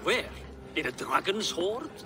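An actor playing a harried, earnest Norse steward and devoted husband in a video game. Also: male voice, high voice, big pitch swings, unhurried, over music. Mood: exasperated. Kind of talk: video game cutscene acting.

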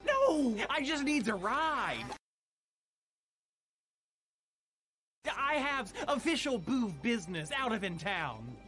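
A man's voice: very high voice, sing-song, a little slow, pushed and bright, exaggerated voice. A man voicing an eager, naive, friendly little alien in a cartoon film, with stilted, oddly formal phrasing and broken grammar. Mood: pleading.

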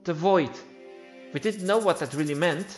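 A high-voiced man, not a native English speaker, acts out the lines of a video game story. His delivery is theatrical and a little halting, and music plays clearly behind him. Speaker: game playthrough creator voicing all characters. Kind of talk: acted read-aloud of video game dialogue.

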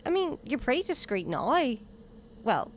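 A woman's voice: a little high, very animated, unhurried, a little hiss or hum. A woman playing a cheeky, inquisitive travelling companion in an audio drama, with a teasing, informal manner. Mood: curious, teasing.

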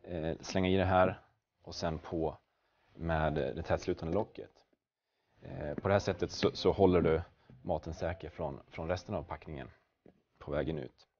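A young man presenting a product demonstration in a halting, hesitant way. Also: deep voice, fairly even intonation, a little mellow. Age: young adult. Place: basement prototype workshop, indoors. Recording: dull, hissy workshop recording with clicks.

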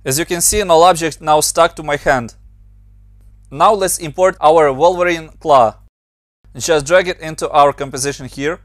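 Ukrainian-accented male tutor, a bit higher voice, very dry close mic, patient step-by-step walkthrough. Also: normal speed, no echo. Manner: plain, step-by-step instructions with slightly non-native grammar. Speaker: motion designer and tutorial maker. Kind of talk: screen-recorded software tutorial.

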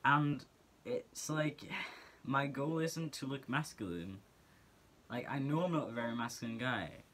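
A teenage guy with a Norwegian accent and a bright, clear-toned voice at an ordinary pitch talks straight to the camera in his small bedroom. He speaks earnestly and casually, stringing thoughts together with plenty of filler words, as a second-language English speaker. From Norway. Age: teens. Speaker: vlogger.

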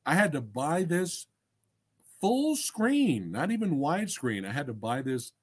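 Animated middle-aged man chatting on a livestream. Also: fairly high pitch, measured pace, slightly rough. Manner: animated, slangy, enthusiastic, swearing. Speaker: livestream host and film reviewer. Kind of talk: casual livestream chat with viewers. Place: small indoor room crammed with collectibles. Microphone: desk microphone close in front.

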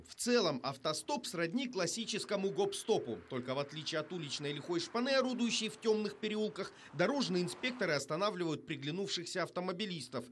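A man narrates a television news report in a fairly high voice. His delivery is scripted and polished, with a wry, ironic edge. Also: fairly bright tone, a little hiss or hum.

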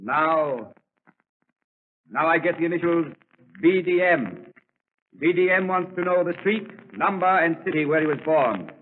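An actor playing a solemn, commanding stage mentalist, formal and theatrical, in a 1930s radio drama. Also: male voice, a little high, lively intonation, unhurried, pauses often. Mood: solemn concentration. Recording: crackly, narrow-band 1939 radio broadcast.

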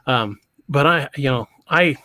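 A middle-aged man with a twang hosts a loose livestream talk, tripping over his own words before he lands a thought. His voice sits at an everyday pitch and sounds dry and close, with no room around it. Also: measured pace, sharp tone, no room sound. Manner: stammering restarts, chatty and joking. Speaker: podcast host. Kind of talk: casual livestream chat. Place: home room.

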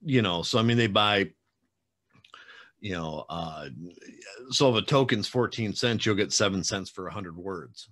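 Chatty low-voiced man on a video call. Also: a little slow, long pauses, fairly bright tone. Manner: chatty, rambling, teasing, many fillers. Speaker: podcast host. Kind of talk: casual video-call interview. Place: home room with bookshelves. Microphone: webcam video call.

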